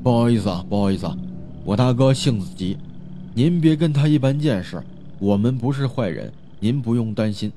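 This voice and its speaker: A man playing a smooth-talking, deferential junior outlaw in an audio drama, apologetic and wheedling. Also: on the low side, background noise.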